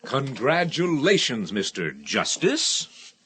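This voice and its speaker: An actor playing an eager, persuasive political aide in a radio drama, insistent and familiar in manner. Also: male voice, medium pitch, wildly swooping pitch, slow pace, sharp tone, a little hiss or hum, full character voice. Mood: jubilant. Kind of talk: 1980 radio drama acting.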